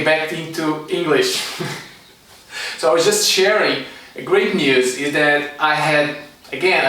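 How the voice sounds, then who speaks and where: Middle aged portuguese guy teaching online, slightly high voice, halting english, super echoey room. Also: a bit bright, a little hiss or hum. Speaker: energy medicine teacher and psychologist. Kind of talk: informal online class talk to camera. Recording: echoey room, distant microphone.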